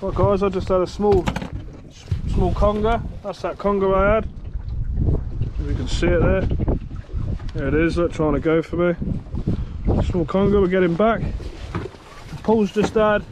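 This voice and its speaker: A fairly high-voiced man chats to his viewers while fishing from a small open boat at sea at night, calling them guys and narrating each catch. A camera on the boat picks him up, and heavy wind roars across the mic the whole time. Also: a little slow, long pauses. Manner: chatty, addresses viewers as guys. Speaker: fishing vlogger. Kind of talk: casual on-the-spot fishing commentary. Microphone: action camera worn or mounted on the boat. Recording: loud wind noise on an outdoor camera mic.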